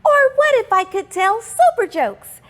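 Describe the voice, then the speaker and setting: Woman voicing an eager, high-pitched young schoolgirl. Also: very animated, unhurried, heavily acted. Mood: excited, wondering. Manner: wondering, exclaiming, thinking aloud. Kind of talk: children's storybook character voice.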